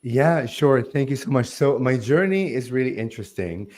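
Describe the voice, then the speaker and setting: A man's voice: mid-range voice, lively intonation, normal speed, darkish tone. Earnest man in his forties. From Lebanon. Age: 40s. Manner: earnest, loose, heavy with "like" and "um". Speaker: guest, spiritual channel. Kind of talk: conversational interview.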